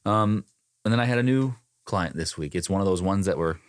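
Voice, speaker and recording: A man's voice: deep voice, lively intonation, brisk. A young man co-hosting a casual podcast, talking in a joking, teasing way about his week's work. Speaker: voice-over talent, podcast co-host. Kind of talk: casual chat.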